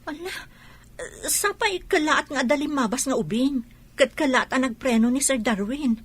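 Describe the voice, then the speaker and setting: A woman's voice: high voice, lively intonation, normal speed, slightly rough. A woman playing a young wife who protests her innocence indignantly. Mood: worried. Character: young wife, defensive and wronged.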